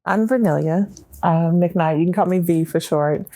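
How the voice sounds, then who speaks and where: An American woman, middle-aged, sharing a personal account in a round-table talk in a plain-spoken, measured way. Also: fairly low pitch, warm tone, no room sound. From Memphis, Tennessee, United States. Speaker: round-table panelist, cancer survivor.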